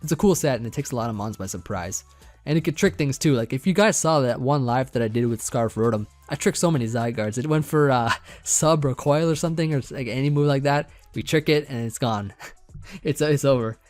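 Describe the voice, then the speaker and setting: A young man casually talking through a team build, rambling with many fillers, his voice getting a bit hoarse. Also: mid-range voice, a little fast, no room sound, faint music behind. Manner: relaxed, rambling, many fillers and restarts, light laughs. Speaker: competitive Pokemon team builder. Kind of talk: casual gaming commentary over team building.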